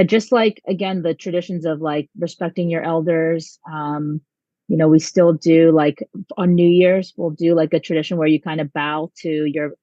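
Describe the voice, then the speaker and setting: A woman's voice: deep voice, darkish tone, dry recording. A young American woman, a volleyball coach, answering interview questions in a casual, chatty way with many ums and likes. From United States. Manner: chatty, lots of um and like. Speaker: volleyball head coach, guest. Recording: dull lo-fi dry sound.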